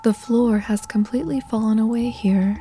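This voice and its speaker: A woman reading scripted narration for a horror game in a measured, sombre, matter-of-fact manner. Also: on the high side, steady tone, mellow, soft background music.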